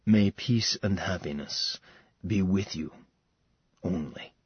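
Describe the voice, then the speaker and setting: A male narrator voicing a solemn, weary dragon-shifter man, speaking low, grave and measured, often trailing off quietly. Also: breathy edge, no echo, muffled recording, exaggerated voice.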